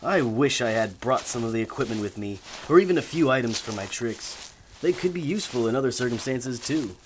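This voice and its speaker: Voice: medium pitch, animated, brisk, a little hiss or hum, lightly acted, poor audio. An actor playing a prim, dry, calculating male magician in an audio drama, formal and precise. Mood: deadpan.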